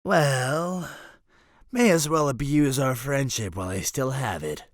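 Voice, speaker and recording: A roguish male mercenary acted by a man for an audio drama, flippant and full of swagger. His delivery is highly animated and swoops around in pitch, recorded close and dry with no room sound. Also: a little slow, no room sound, natural voice. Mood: grateful, wry. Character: roguish, cocky, theatrical male mercenary leader.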